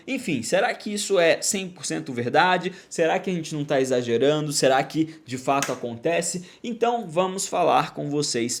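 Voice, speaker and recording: A man hosting an education podcast, explaining in a didactic way and often checking in with the listener. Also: a little high. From Brazil. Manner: didactic, often tags sentences with "tá?". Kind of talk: explanatory solo talk.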